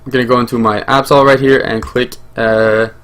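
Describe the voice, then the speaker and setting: A young man whose mother tongue is French talks through a game as he plays, thinking out loud. His commentary rambles, full of fillers and restarts, at a middling pitch. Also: little pitch movement, moderate pace. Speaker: gaming channel host.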